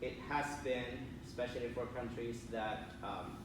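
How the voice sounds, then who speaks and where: A man's voice: medium pitch, measured pace. Man presenting in a very echoey room. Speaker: conference presenter. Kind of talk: academic presentation and question answering. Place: conference room, picked up from a distance. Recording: very echoey room, distant mic, clear background noise.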